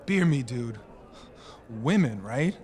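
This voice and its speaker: A man playing an uneasy American high school boy in an audio drama, talking in casual slang. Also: animated, slow pace, a little mellow. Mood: sullen, joking. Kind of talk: audio fiction acting.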